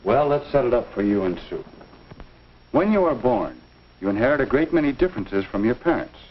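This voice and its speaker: A genial, avuncular minister, played by a male actor gives earnest counsel in the manner of a 1950s instructional film. His mid-pitched voice rises and falls expressively, with measured, folksy phrasing. The soundtrack is dull and dated. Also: sing-song, a little hiss or hum, lightly acted. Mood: explaining.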